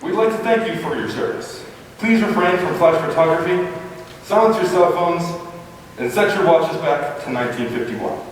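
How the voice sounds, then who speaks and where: A man's voice: fairly high pitch, normal speed. A man speaking as a formal, showmanlike old-time radio announcer to a live audience. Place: live stage before an audience. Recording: echoey hall, distant mic, faint noise.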